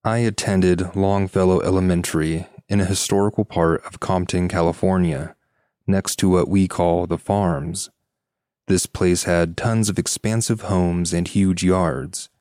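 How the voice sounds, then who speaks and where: Deep-voiced man narrating a story. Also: fairly even intonation, unhurried, a little mellow, no echo, studio quality. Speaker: podcast narrator reading listener stories. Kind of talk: scripted first-person story narration.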